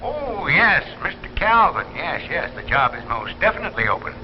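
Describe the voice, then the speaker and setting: Businesslike recruiter, lively pitch, muffled sound. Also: male voice, moderate pace. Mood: polite, eager. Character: guarded, businesslike recruiter arranging a secretive interview. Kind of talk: 1950s anthology drama acting. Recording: muffled 1950s broadcast, faint noise.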